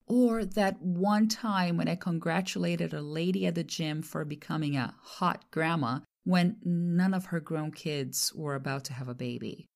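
A woman from Brazil whose first language is Portuguese, hosting a podcast and telling a personal story in a reflective, expressive way. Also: low-pitched, unhurried, vocal fry, hardly any pauses. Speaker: podcast host and translator. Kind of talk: personal monologue with an ad read.

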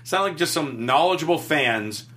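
A man co-hosting a casual wrestling recap show, recounting matches blow by blow in quick play-by-play. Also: on the high side, animated, bright, forceful tone.

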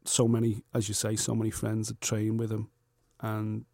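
A man's voice: on the low side, completely flat delivery, moderate pace, vocal fry, warm tone, no echo. A man co-hosting a boxing podcast in casual chat, blunt and jokey, with slang like "mate".

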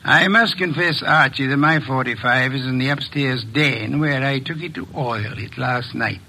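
An actor playing a fat, pompous detective in a 1950s radio drama, speaking in calm, measured, formal sentences. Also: male voice, mid-range voice, a little hiss or hum. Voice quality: formal, measured, elaborate diction.

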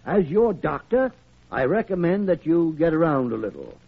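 Blustery old doctor, wildly swooping voice. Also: male voice, on the high side, big pitch swings, moderate pace, creaky, exaggerated voice. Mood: coaxing. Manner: blustery, commanding, theatrical. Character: gruff, blustery older male senior doctor, fatherly to patients. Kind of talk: old-time radio drama acting. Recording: tinny, band-limited old radio recording.

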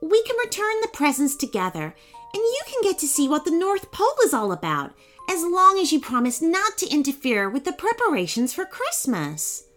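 A woman's voice: high-pitched, wildly swooping pitch, normal speed, a bit bright, faint music behind, voice pushed up, exaggerated voice. A woman voicing an earnest, eager young boy hero in a children's story, speaking with emphatic swoops. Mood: friendly, eager.

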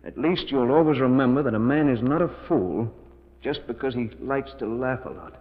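A man's voice: medium pitch, normal speed, close to an everyday voice. An actor playing a tense, guilty man trapped in a cave, in emphatic 1940s radio melodrama. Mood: grim, reading aloud. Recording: muffled 1940s radio recording, reverberant.

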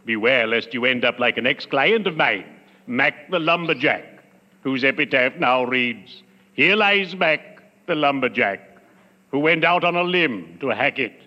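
An actor playing a genteel, gloomy undertaker with morbid wit and florid, formal diction in 1940s radio comedy style. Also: male voice, medium pitch, animated, a little slow. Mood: morbidly jovial. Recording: muffled, noisy old radio recording, reverberant.

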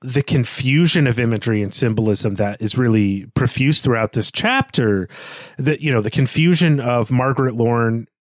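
A man's voice: mid-range voice, normal speed, dry recording, clean studio sound. A man co-hosting a literary discussion podcast, talking in an articulate, analytical and conversational way. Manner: analytical, articulate, poses questions. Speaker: podcast co-host.

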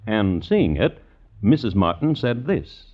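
An actor playing a wry, measured narrator in a scripted radio drama. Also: male voice, lowish voice, sing-song, full character voice. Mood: wry storytelling. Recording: narrow, tinny vintage radio recording. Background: prominent dramatic music.

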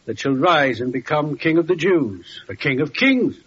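Highish male voice, innkeeper played by a man, lively intonation, vintage radio acting, muffled. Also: moderate pace, noisy background, lightly acted. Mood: somber. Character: plain-spoken male innkeeper. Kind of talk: old-time radio drama acting. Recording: muffled vintage radio recording, faint music.

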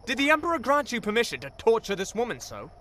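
A man's voice: very high voice, wildly swooping pitch, quick pace, bright, forceful tone, slight background noise, full character voice. A man playing a flustered, bossy young warlock minion with a theatrical, fussy way of talking, in a comic fantasy audio drama. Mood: alarmed, scolding.

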